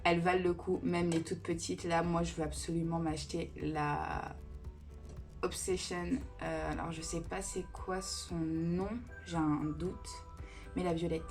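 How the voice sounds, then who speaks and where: A woman's voice: lowish voice, flat delivery, a little slow, a little mellow, faint music behind. A woman in her thirties answering viewer questions in a casual beauty vlog, chatty and digressive with many fillers. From Italian family roots. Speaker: beauty vlogger. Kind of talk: casual Q&A chat.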